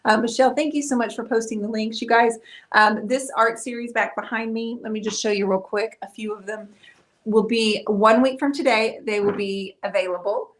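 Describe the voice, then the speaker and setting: A middle-aged woman hosting a live painting stream, chatting casually and warmly with viewers, with frequent "um"s. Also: on the high side, fairly even intonation, normal speed. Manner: chatty, friendly, talks to viewers with many fillers.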